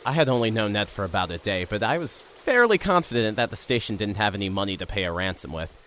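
A man's voice: fairly low pitch, very animated, fast talker, fairly bright tone, a little hiss or hum. A man narrating an audio drama in the first person and playing a nervous reporter, with an earnest, wry delivery.

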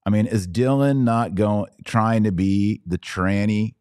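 A man's voice: fairly low pitch, measured pace, a little mellow, smooth, no echo, studio quality. A man with an American accent giving live podcast commentary in a conversational way, posing pointed rhetorical questions. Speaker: podcast host.

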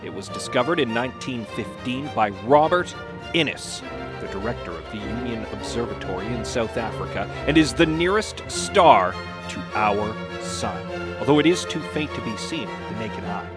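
A man putting on a solemn, low dramatic reader over music. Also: lively intonation, close to an everyday voice. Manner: dramatic, deliberate, with weighty pauses. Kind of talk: mock dramatic reading of an encyclopedia text. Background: music behind the voice.

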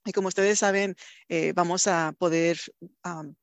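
A woman's voice: on the low side, fairly even intonation, measured pace, smooth, no echo. A woman hosting a community radio interview, effusive and congratulatory in manner. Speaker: radio host. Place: recorded over a video call.

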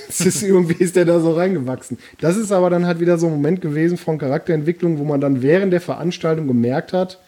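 A man's voice: on the high side, fast talker, hardly any pauses, mellow. A man co-hosting a podcast, telling stories in an easygoing way with hesitant restarts. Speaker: podcast co-host. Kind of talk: casual chat.

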